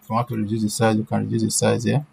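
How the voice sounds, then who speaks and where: A woman presenting an informal screen-share software tutorial, repeating herself and often checking that listeners are following. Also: rumbling low, monotone, racing, vocal fry, heavy background noise.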